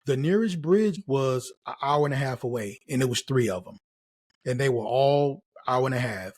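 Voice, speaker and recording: A man's voice: mid-range voice, normal speed, a little mellow, slightly rough. A middle-aged American man speaking casually and encouragingly as a host and mentor, emphatic and given to repeating key phrases. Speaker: host, nursing career mentor. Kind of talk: casual motivational advice to camera.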